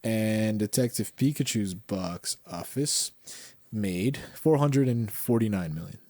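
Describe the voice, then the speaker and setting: A man's voice: fairly low pitch, lively intonation, slow pace, dark tone, no echo. A man co-hosting a podcast in casual chat, blunt and opinionated, with frequent swearing. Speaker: podcast co-host. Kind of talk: casual pop culture chat.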